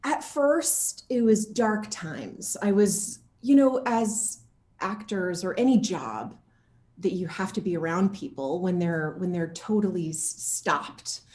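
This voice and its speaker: A woman's voice: fairly low pitch, takes it slowly. Chatty, jokey woman in an echoey room. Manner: animated, jokey, often laughing as talking. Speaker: interview guest, actor. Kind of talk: casual chat, telling anecdotes. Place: at home, indoor room.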